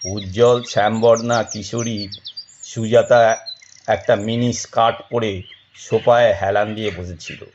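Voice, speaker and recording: A man narrating a scripted story dramatically, in a measured and expressive way. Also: lowish voice, highs cut off, a little hiss or hum.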